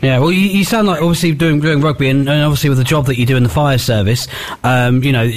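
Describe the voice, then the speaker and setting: A man's voice: medium pitch, quick pace. A British man presenting on the radio, chatting and interviewing in an informal, rambling, jokey way.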